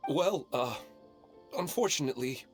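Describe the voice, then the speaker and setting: A man voicing a gentle, wistful widower in a video game, polite and earnest. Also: medium pitch, animated, moderate pace, music in the background, natural voice.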